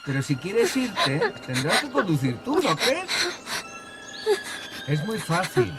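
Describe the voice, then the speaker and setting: An actor with a Castilian accent playing a calm, manipulative young killer in a radio drama, coaxing and falsely reassuring. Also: male voice, high-pitched, slow, full character voice. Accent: Castilian Spanish. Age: young adult. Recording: music bed under the voice.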